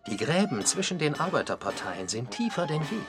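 A man reading scripted narration for a radio feature, with a slightly husky voice. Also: on the high side, big pitch swings, brisk, over music.

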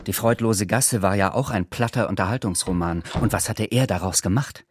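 A man's voice: on the low side, a little fast, breathy edge, dry recording, studio quality. A man narrating a literary radio drama in a scripted storytelling style. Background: faint music.

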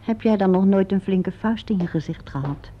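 A woman's voice: mid-range voice, moderate pace, slight background noise, close to an everyday voice. An actress playing a self-possessed, teasing woman of the theatre in a radio drama, bantering and flippant. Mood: firm. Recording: dull lo-fi radio play recording.

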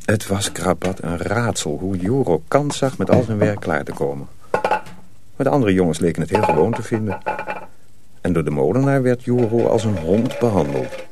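An actor narrating a tale in a radio play, in a measured, scripted storybook manner. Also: male voice, sits low, animated. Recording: faint noise on old radio recording.

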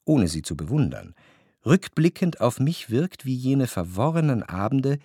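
An actor playing a reflective, cultured man who recalls his past in a literary radio drama, with measured, precise diction. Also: mid-range voice, big pitch swings, mellow, breathy edge, full character voice. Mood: wistful. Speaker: narrator.

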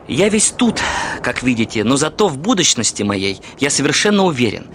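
An actor playing a brash, self-assured young suitor in a Russian radio drama, arguing in a formal, pedantic, insolent manner. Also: male voice, mid-range voice, very animated, moderate pace, fairly bright tone, a little hiss or hum. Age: young adult.

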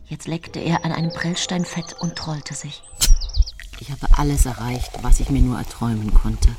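A woman's voice: a bass voice, moderate pace, heavy background noise. An actress playing a self-righteous young 19th-century wife and mother who confides her story in a genteel, self-satisfied way in a radio play. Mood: proud, content. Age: late twenties. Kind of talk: radio play monologue, first-person storytelling.

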